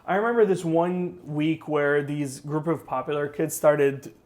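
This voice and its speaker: A young man co-hosting a casual talk show, telling lively stories and acting out the people he quotes. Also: fairly high pitch, unhurried. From Saudi Arabia. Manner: animated storyteller acting out quotes. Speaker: podcast co-host. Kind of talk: casual conversation.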